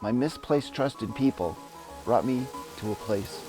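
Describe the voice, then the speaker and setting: A man's voice: mid-range voice, a little slow. A man giving a reflective, earnest dramatic reading of his own memoir. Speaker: memoirist narrator. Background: music.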